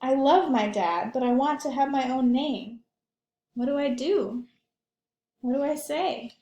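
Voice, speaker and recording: Woman reading as a high-voiced, spirited storybook boy. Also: a little slow, mellow. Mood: frustrated, determined. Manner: earnest, emphatic storybook narration. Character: spirited young boy longing for his own name. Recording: echoey room.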